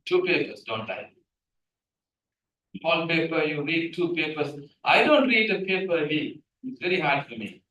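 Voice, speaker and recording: A middle-aged man whose first language is Tamil gives a guest lecture on scientific writing. He is animated and keeps asking the audience questions. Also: on the high side, moderate pace, dull audio. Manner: animated, asks the room questions. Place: seminar room, hybrid session. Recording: echoey room from a distance.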